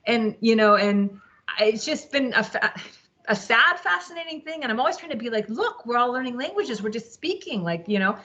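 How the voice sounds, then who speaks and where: A woman with a French accent talks quickly and animatedly, full of asides. Her voice is bright and forceful. It comes through dull, lo-fi video call audio. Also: on the high side. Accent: French-speaking. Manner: animated, quick, chatty with many likes. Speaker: teacher and webinar participant. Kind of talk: casual discussion.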